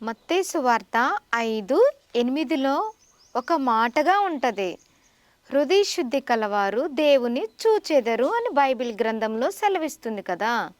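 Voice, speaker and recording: Puppet show teacher: high-pitched woman, patient and instructive, explaining to a child, recorded dry. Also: big pitch swings, measured pace, no room sound.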